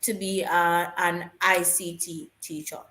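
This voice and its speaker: A woman's voice: lowish voice, flat delivery, slow pace, pushed and bright, reverberant. A woman co-hosting a live stream, answering viewers' questions about teaching jobs and repeating her key points to stress them.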